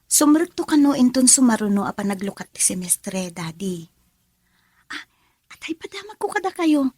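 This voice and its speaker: Lively young daughter, slightly husky. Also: female voice, a little high, moderate pace, no echo. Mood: affectionate. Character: affectionate student daughter, young woman in love. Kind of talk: Ilocano radio drama acting.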